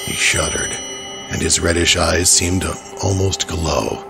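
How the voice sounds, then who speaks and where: A man narrating a horror story in a measured, steady way. His voice is very deep and gravelly, with clear music playing beneath it.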